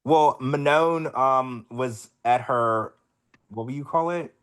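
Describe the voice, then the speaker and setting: A man's voice: medium pitch, slow, fairly bright tone, clear, resonant tone. A man co-hosting a casual podcast chat, talking bluntly with plenty of swearing and strong opinions. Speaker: podcast co-host.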